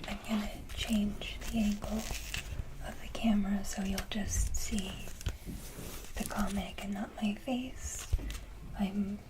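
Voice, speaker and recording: A woman whispering breathily in a casual ASMR style, speaking to viewers in a gentle, halting manner. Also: medium pitch, steady tone. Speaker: ASMR video creator. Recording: clearly noisy, hissy background.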